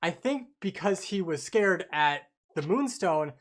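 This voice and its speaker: A man's voice: high voice, animated, measured pace. A man in a casual book club chat, explaining his points informally and acting out imagined quotes. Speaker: book club podcast participant.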